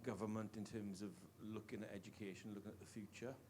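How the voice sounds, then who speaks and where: A Welsh man with a somewhat low voice speaks from the audience in an earnest, halting way, restarting his phrases often. He is a longtime teacher raising a point at a panel talk, and a faint hum sits under the recording. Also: little pitch movement. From Wales. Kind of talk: question from the floor at a panel talk.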